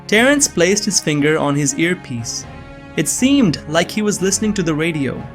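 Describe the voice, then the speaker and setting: A man plays an earnest young man with a slightly high voice. He tells his suspense story in the first person, uneasy yet measured, as scripted visual novel narration and dialogue. Soft music sits underneath. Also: sing-song. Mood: shocked, anxious.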